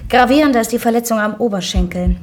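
A woman's voice: fairly high pitch, fast talker, close to an everyday voice. A woman playing a young, single-minded army pathologist in a radio drama, clinical and matter-of-fact. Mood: clinical, firm. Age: 30s.

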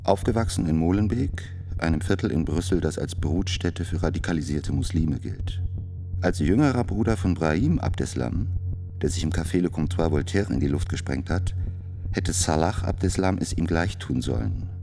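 A man's voice: sits low, lively intonation, normal speed, gravelly, mellow. A man playing a second narrator in a documentary radio play, reading out profiles in a matter-of-fact way. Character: factual male reader of defendants' profiles.

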